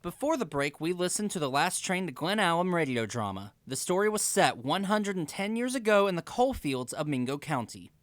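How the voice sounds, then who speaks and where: A man's voice: high-pitched, very animated, a little fast, few pauses, no echo. An American man reading scripted announcements and credits as a radio announcer. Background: guitar music.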